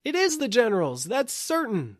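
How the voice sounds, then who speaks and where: An eager busybody in a village crowd pipes up in a storybook. The voice is pitched very high and animated, with a tattling manner. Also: male voice, moderate pace, no room sound, clean studio sound, full character voice. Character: shrill tattling male bystander in a crowd. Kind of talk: audiobook character acting.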